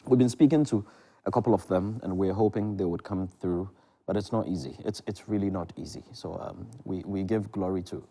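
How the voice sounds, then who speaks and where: Low-pitched Ghanaian man, interview guest. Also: brisk, dark tone. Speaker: theatre producer and director, interview guest. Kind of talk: conversational TV interview. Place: television studio.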